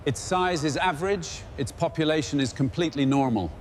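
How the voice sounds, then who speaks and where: A man narrating a scripted second-person story in a deadpan manner. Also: fairly high pitch, brisk, a little hiss or hum.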